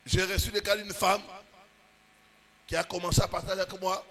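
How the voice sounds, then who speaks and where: A man preaching as a pastor, with emphatic bursts and drawn-out pauses. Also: high-pitched, little pitch movement, sharp tone. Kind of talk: sermon.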